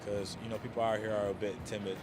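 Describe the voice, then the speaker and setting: A man's voice: fairly low pitch, flat delivery, fast talker, darkish tone. A man in his twenties from Cameroon, chatting casually as a street interviewee. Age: 20s. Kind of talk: casual chat.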